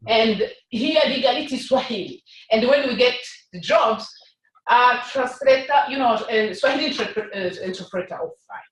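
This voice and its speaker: Kirundi-native woman stumbling over her words, far from the mic in a roomy space. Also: a little high. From Burundi. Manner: halting, repeats words. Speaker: interpreter trainee. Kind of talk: hesitant classroom discussion. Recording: roomy, distant, faint noise.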